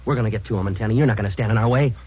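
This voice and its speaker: An actor playing a terse, tough American police detective in clipped, deadpan 1940s radio drama style. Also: male voice, lowish voice, rapid-fire, breathy edge. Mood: stern, pressing. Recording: dull vintage radio recording with music.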